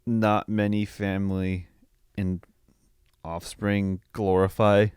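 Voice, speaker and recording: A man's voice: fairly low pitch, little pitch movement, slow, a little mellow, smooth, no echo, clean studio sound. A man co-hosting a casual film review podcast, arguing bluntly in choppy, restarted phrases with some swearing. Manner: blunt, profane, argues in repeated broken starts. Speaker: podcast co-host.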